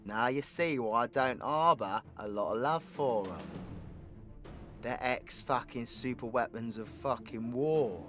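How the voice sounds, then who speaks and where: A man's voice: mid-range voice, measured pace, a bit bright, soft background music, natural voice. A man with a British accent playing a cocky, foul-mouthed, sarcastic supervillain who tells stories in an audio roleplay. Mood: bitter, dramatic. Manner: cheeky, sweary, colloquial, theatrical swings into exclamation. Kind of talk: villain audio roleplay, rambling comedic storytelling.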